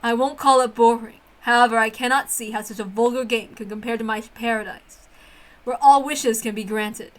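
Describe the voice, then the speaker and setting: A woman's voice: a little high, steady tone, normal speed, voice pushed down, close to an everyday voice, poor audio. A woman voicing a haughty, cold witch who speaks in a pompous, formal and disdainful way in a dramatic story reading. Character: haughty, cold, bewildered witch scorning human games.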